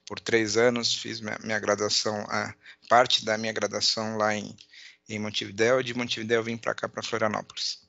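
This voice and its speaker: A middle-aged man with a southern Brazilian border accent touched by Uruguayan, giving an informal online presentation in a halting way, repeating words and checking in often. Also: lowish voice, vocal fry, pushed and bright, no room sound, lo-fi sound. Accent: southern Brazilian border accent with Uruguayan influence. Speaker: conference speaker, accountant and data analyst. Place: at home, indoors.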